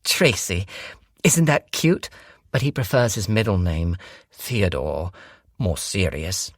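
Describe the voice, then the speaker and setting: A man voicing an effusive middle-aged mother who gushes excitedly. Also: a bass voice, slow pace, no echo, clean studio sound, heavily acted. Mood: chatty. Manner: gushing, excited.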